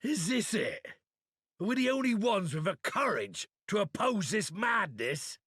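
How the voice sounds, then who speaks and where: Raspy, high-pitched vengeful zealot. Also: male voice, big pitch swings, a little slow, fairly bright tone, no echo, studio quality, full character voice. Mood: defiant outrage. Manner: impassioned, scornful, theatrical. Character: vengeful zealot, bereaved brother, defiant rabble-rouser. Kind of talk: dramatic video game voice acting.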